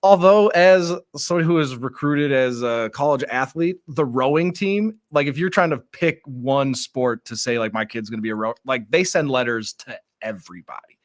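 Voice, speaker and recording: A man filling in as host of a radio talk show, relaxed and conversational, with quick asides. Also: medium pitch, dry recording. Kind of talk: casual radio chat.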